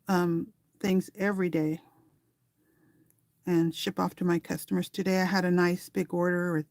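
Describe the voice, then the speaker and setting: A woman's voice: fairly low pitch, vocal fry, a little mellow, dry recording. A woman hosting a craft tutorial in a casual, rambling, conversational way, thinking aloud as she works with her hands. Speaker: craft tutorial host and small online shop seller.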